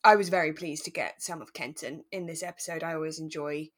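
A woman's voice: low-pitched, fairly even intonation, a little fast. A woman co-hosting a podcast, chatting casually and enthusiastically about a drama and agreeing readily as she goes. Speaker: podcast co-host. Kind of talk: casual chat reviewing a radio drama.

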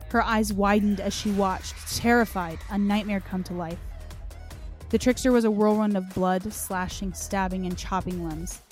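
A woman narrates a dark horror story as a dramatic scripted reading. She delivers it theatrically in deliberate, broken phrases at a middle pitch, with music behind her.

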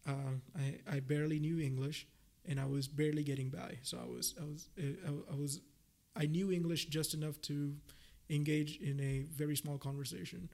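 The slightly high-voiced, talkative young guy from India, Gujarati his mother tongue, rephrasing his thoughts across the table on a student research podcast. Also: fairly even intonation, moderate pace, vocal fry, few pauses, darkish tone. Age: young adult. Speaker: podcast guest, PhD researcher. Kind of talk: casual interview chat.

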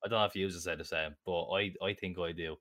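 A man's voice: low-pitched, fairly even intonation, quick pace, fairly bright tone, no echo. A young man with a Dublin Irish accent, chatting casually as podcast co-host and rambling with lots of "like"s. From Ireland. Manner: rambling, self-interrupting with many "like"s. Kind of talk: casual chat.

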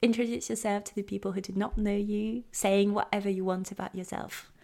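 A woman hosting a podcast, upbeat and quick to laugh while she introduces and interviews her guest. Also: mid-range voice, steady tone, vocal fry, a little mellow. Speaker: podcast host.

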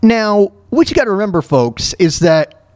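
A man in his thirties hosting a talk show alone, telling stories and ranting casually in a halting, repetitive way with frequent throat clearing. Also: high voice, lively intonation, darkish tone, no echo. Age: 30s. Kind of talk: casual monologue, ranting and personal storytelling.

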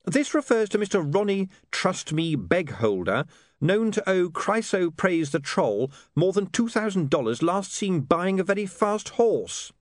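A man's voice: sits high, very animated, a little slow, no echo, clean studio sound, pitched above the performer's own voice. A man voicing an earnest, principled young newspaperman in an audiobook, speaking precisely, formally and with some exasperation. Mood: sceptical.

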